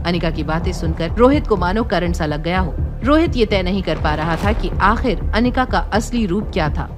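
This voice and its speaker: A woman narrator tells a scripted drama serial. Her voice is medium in pitch, with soft music running beneath it.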